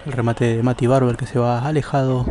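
An Argentine man giving measured live tennis commentary from an outdoor court, pausing between plays. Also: mid-range voice, little pitch movement, mellow. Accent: Argentine Spanish. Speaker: amateur tennis match commentator. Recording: noisy amateur camera audio, clicks.

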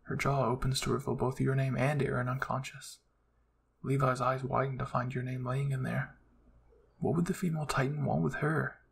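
A man's voice: medium pitch, level tone, moderate pace, gravelly, a little hiss or hum. A man reading scripted fan fiction aloud as a storyteller. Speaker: storyteller, channel host.

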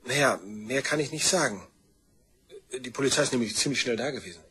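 A man's voice: mid-range voice, expressive, a little fast, sharp tone. An actor playing an offhand, slyly needling man questioned as a witness in a radio crime drama, speaking casually. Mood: casual, halting. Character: offhand, needling younger-brother type, male crime witness.

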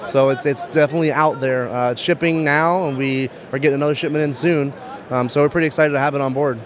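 A man's voice: mid-range voice, steady tone, quick pace, slight background noise. A man in his thirties demonstrating a product in an interview, speaking into a handheld microphone at a trade show. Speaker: brand manager demonstrating a product. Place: busy trade show floor.

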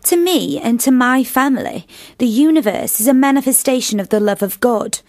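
Devout young woman, lively intonation. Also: fairly high pitch, moderate pace, no echo. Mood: firm, devout. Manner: formal, firm. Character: devout, earnest, disapproving young sister. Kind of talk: radio play acting.

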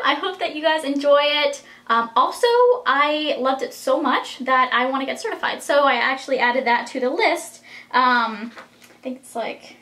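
A bubbly young woman with a drawl talks straight to her camera from a small room. Her voice sits high and bright. She gushes, filling her sentences with "like". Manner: bubbly, gushing, lots of "like". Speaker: vlogger. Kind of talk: casual vlog chat.

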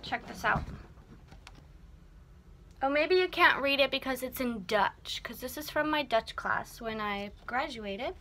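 Young woman, bright tone, higher voice, chatty vlog, small cabin, close laptop mic. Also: unhurried, long pauses, slight background noise. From United States. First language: English. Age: young adult. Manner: chatty, filler-heavy, animated. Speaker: vlogger. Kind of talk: casual vlog chat to camera. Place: small wood-panelled houseboat cabin indoors. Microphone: laptop camera at close range.

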